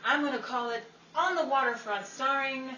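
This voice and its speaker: A woman playing an excitable, aggrieved 1950s Hollywood actress, theatrical and emphatic, in the style of an old-time radio comedy. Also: high voice, normal speed, echoey room, distant mic, noisy background, close to an everyday voice. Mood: dramatic pitching.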